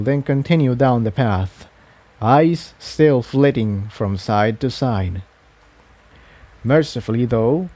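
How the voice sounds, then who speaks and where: A man reading an adventure story aloud as narrator, in a measured, scripted storytelling style. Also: medium pitch, mellow, dry recording. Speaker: narrator, audiobook reader.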